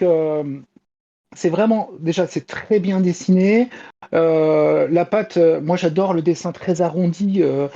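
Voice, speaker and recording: Dull lo-fi, mellow woman reviewing manga. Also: fairly low pitch. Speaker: manga columnist. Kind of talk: casual enthusiastic review.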